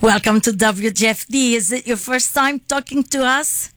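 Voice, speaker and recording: A woman's voice: a little high, moderate pace, a bit bright, no room sound, faint music behind. A woman hosting a radio interview, who speaks unhurriedly with small restarts. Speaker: radio host.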